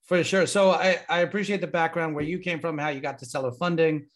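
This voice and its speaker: A man's voice: fairly high pitch, fairly even intonation, a little fast. A man hosting a podcast, upbeat and enthusiastic, introducing and questioning a guest. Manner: upbeat, enthusiastic, frequent ums. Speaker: podcast host. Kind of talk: casual interview hosting.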